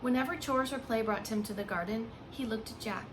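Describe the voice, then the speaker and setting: A woman reading a picture book aloud like a preschool teacher, with expressive, hushed emphasis and simple asides to small children. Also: fairly high pitch, fairly quick, background noise. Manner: simple explanations and questions addressed to small children. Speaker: pre-K teacher.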